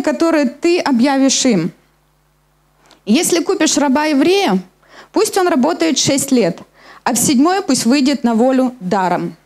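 A woman with a high-pitched voice delivers a sermon from a church stage. She is middle-aged and explains scripture step by step, speaking directly to her listeners through a handheld microphone. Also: a little mellow. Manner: explanatory, addressing listeners with questions. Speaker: preacher. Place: church hall stage at a lectern, indoors.

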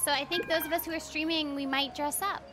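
A woman's voice: high-pitched, steady tone, fast. A young adult woman chatting casually on a game livestream, thinking aloud as she plays. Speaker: streamer, voice actor. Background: game music.

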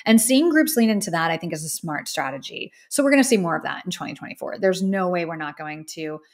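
A woman's voice: lowish voice, expressive, quick pace. Earnest American woman hosting a podcast. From United States. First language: English. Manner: earnest, emphatic, conversational. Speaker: podcast host. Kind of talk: opinionated solo podcast commentary. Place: indoor studio with a plain backdrop.

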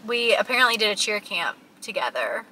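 A woman's voice: medium pitch, very animated, a little fast, sharp tone, rough recording. A woman in her twenties chatting casually as a vlogger, telling stories with dramatic emphasis in a car. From small town. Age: 20s. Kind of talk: casual chat, storytelling with a friend. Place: inside a parked car at night. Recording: background noise inside a parked car.

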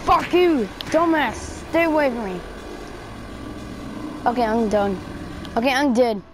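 A woman's voice: a little high, expressive, measured pace, noisy background. A woman giving casual let's play commentary in a brash, foul-mouthed, exasperated manner. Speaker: gamer commentating on own play.

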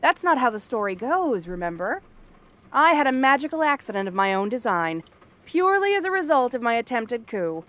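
A woman's voice: on the high side, very animated, normal speed, a bit bright. Woman acting a bratty, sardonic frog-girl princess. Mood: mocking, dismissive. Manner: haughty, sardonic, theatrical tsundere delivery. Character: bratty, cranky cursed frog-girl princess with a soft spot. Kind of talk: ASMR fantasy roleplay monologue.

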